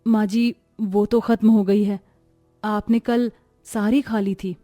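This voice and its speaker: A woman voicing a dutiful young pregnant daughter-in-law in a cartoon story, patient, soft-spoken and deferential. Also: medium pitch, little pitch movement, slow, soft background music, close to an everyday voice. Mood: meek. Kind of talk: acted Hindi cartoon story dialogue.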